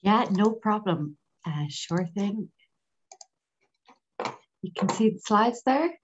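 A woman's voice: medium pitch, expressive, takes it slowly, a little hiss or hum. A woman with an Irish accent giving an informal academic presentation over a video call, hesitating often. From Ireland. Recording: dull lo-fi online call audio.

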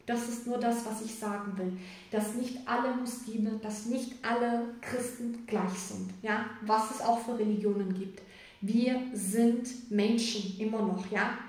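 A woman's voice: a little high, darkish tone, distant mic. Chatty woman tarot reader, echoey room. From Germany, of Sicilian parents. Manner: chatty, rambling, frequent fillers and tag questions. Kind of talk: casual spoken tarot reading. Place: indoors, room with a card table.

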